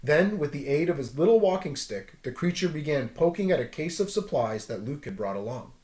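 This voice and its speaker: Male storyteller: expressive, wide-ranging intonation, read-aloud delivery, roomy echo. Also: on the high side, fairly quick. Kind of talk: animated story narration.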